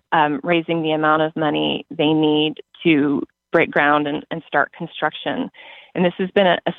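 A man's voice: a little high, gravelly, dry recording, narrow, tinny audio. An American man who works for a library system, answering interview questions conversationally.

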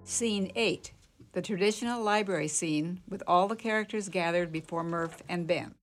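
A woman's voice: lowish voice, moderate pace. Woman narrating radio play scenes, music behind. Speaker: narrator reading stage directions.

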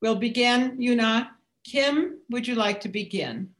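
A woman hosting a community radio program, speaking in a measured way. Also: fairly high pitch, a bit bright. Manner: slow, measured. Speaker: radio show host.

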